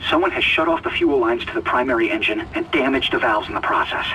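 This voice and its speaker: An actor playing a terse, commanding engineer under pressure in a video game, gruff and curt. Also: male voice, mid-range voice, fast talker, sharp tone, far from the mic, a little hiss or hum, natural voice, poor audio. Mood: grim, urgent.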